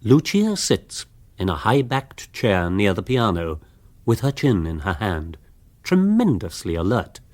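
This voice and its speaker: A man playing a wry storyteller narrating a radio social comedy, British, arch and husky. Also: on the low side, very animated, a little slow, darkish tone. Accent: British English. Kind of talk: scripted narration of radio drama.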